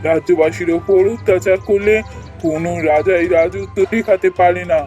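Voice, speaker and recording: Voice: medium pitch, steady tone, normal speed, warm tone, highs cut off, natural voice. An actor playing a humbled tyrant king in a fairy-tale cartoon, solemn and regretful. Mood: remorseful. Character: defeated, remorseful tyrant king, now humbled. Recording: music under the voice.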